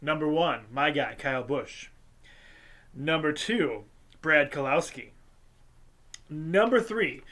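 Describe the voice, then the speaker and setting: A congested host, casually reading a sports scores recap with lots of ums and uhs and small jokes. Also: male voice, on the high side, pushed and bright. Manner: halting, filler-heavy delivery with light jokes. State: congested, sinusy.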